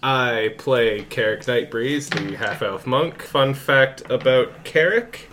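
A man's voice: medium pitch, slow pace, a bit bright, a little hiss or hum. A man in his thirties, a tabletop game player, chatting with dry jokes. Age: 30s. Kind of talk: casual chat.

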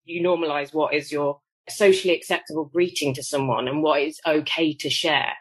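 A woman from the UK co-hosting a casual interview, reflective and measured. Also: low voice, fairly even intonation, breathy edge, no echo. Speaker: podcast co-host.

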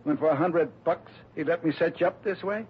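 An actor playing a tough, cocky crook on the run, talking slangy and menacing in a 1960s radio crime drama. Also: male voice, on the high side, a little fast, breathy edge. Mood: gloating threat. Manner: slangy, menacing wise-guy talk. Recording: muffled old radio broadcast, faint hiss.

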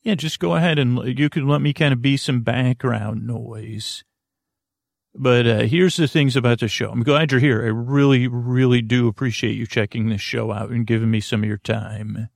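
A man with a creaky voice hosting a podcast, giving a rambling bedtime monologue in a lulling, soothing, hesitant way that often trails off mid-thought. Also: medium pitch, fairly even intonation, moderate pace, no room sound, clean studio sound. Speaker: podcast host.

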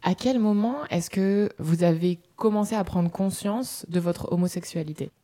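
A woman hosting a podcast who reads the narration and asks interview questions in a measured, calm way. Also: on the low side.

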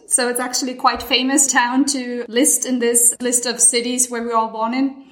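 A woman's voice: a little high, little pitch movement, moderate pace, fairly bright tone. A woman of about thirty from Germany, chatting casually with many fillers. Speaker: panel guest. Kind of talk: casual conversational discussion. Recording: roomy, echoey sound.

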